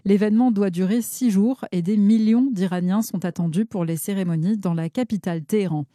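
A woman's voice: medium pitch, fairly quick, warm tone, dry recording, studio quality. A woman reading a scripted radio news bulletin as a newsreader.

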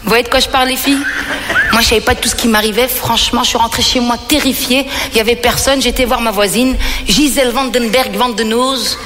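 A woman's voice: a little high, fairly even intonation, fast. A woman from Belgium performing a stand-up comedy monologue, telling stories animatedly and putting questions to her audience. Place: reverberant venue on stage. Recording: hall reverb with music behind.

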